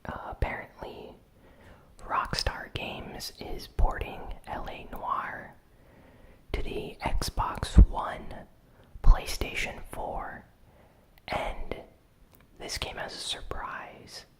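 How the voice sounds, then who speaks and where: A host whispering a weekly gaming news recap in ASMR style, soft and unhurried with frequent "um" fillers. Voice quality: fully whispered.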